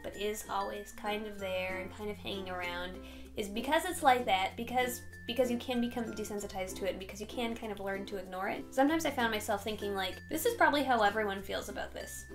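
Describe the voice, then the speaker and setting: A woman's voice: medium pitch, fast talker, music in the background. A young woman in a casual, personal chat, telling stories animatedly on a couch indoors. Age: young adult. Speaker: vlog guest. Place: small room indoors, on a couch.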